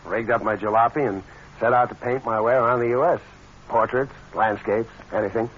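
An actor playing a breezy young traveling artist in a 1940s radio drama, casual but deferential. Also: male voice, medium pitch, fairly even intonation, brisk, lightly acted. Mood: breezy, pleased. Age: young adult. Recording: muffled old radio with faint noise.

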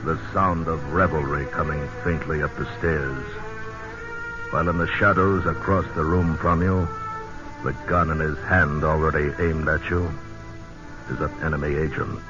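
Man, extremely deep voice, ominous measured announcing, old radio broadcast, music behind. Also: muffled recording. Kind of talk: dramatic scripted 1940s radio announcing.

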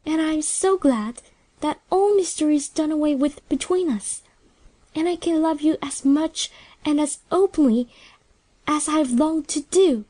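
A woman voicing an earnest, gentle young woman who speaks tenderly and openly. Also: high-pitched, dry recording, lightly acted. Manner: earnest, soft-spoken. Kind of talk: audiobook character reading.

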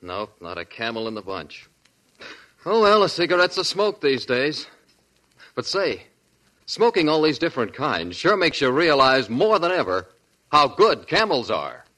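A man's voice: medium pitch, big pitch swings, measured pace, bright, forceful tone, rough recording. A man playing an easygoing wartime everyman in a 1940s radio commercial, on an old recording. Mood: resigned.

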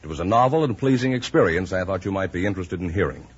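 Animated, husky American male radio pitchman. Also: fast. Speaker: announcer reading a sponsor letter. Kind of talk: scripted 1950s radio advertisement reading. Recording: muffled old radio recording.

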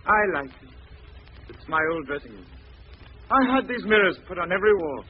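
Casting: unhinged disfigured killer, high and volatile, theatrical old-time radio menace on noisy vintage audio. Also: male voice, very animated, moderate pace, phone-quality sound. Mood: sinister gloating. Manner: theatrical, menacing, swinging between hushed and shrieking. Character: deranged, disfigured murderer, gloating yet self-loathing man. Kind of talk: 1940s radio acting.

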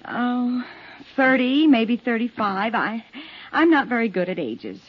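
Neighbor witness: flustered and protesting, very lively pitch, muffled 1950s radio recording. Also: female voice, a little slow, husky. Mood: hesitant, cooperative. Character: flustered, defensive married woman neighbor being questioned. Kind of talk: 1950s radio drama acting.

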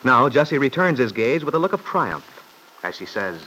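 A man narrates a vintage radio drama in a bright, forceful voice. His scripted storytelling sits at a middle pitch, on an old broadcast recording with faint noise. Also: big pitch swings, moderate pace, rough recording.

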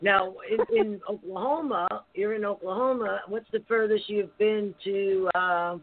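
A man's voice: sits high, fairly even intonation, unhurried. A man hosting an interview, giving short prompts and stumbling over his questions with small laughs. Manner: short prompts, hesitant restarts, laughing asides. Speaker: interviewer host. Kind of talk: casual interview. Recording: tinny phone line, dry.

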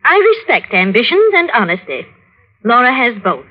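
An actress playing a genteel, serenely composed and politely bossy middle-aged wife in an old-time radio drama. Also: female voice, fairly high pitch, sing-song, moderate pace. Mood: gracious, composed. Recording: dull vintage radio recording.

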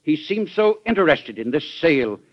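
Crusading american govt official, higher voice, oratorical old radio drama style, dull vintage recording. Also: male voice, very animated, normal speed. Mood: accusing. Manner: oratorical, formal, urgent. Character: earnest, crusading male government official, formal and indignant.

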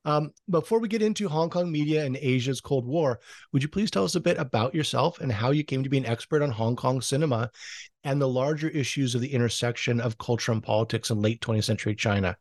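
Man, podcast interviewer, mid-range voice, halting phrasing, dry recording. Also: mid-range voice, dry recording.

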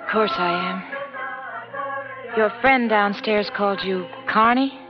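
An actress playing a vulnerable, polite woman in trouble in an old radio drama, speaking softly and hesitantly. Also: a little high, slow. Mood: shy, playful. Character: frightened, apologetic woman in trouble, slowly trusting. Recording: dull vintage radio recording, music behind.

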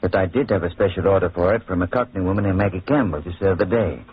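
A careful, businesslike pharmacist in a vintage radio drama. He speaks quickly in a deep voice. The old recording is muffled and tinny. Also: husky, no echo. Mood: matter-of-fact. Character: cautious, businesslike French pharmacist.

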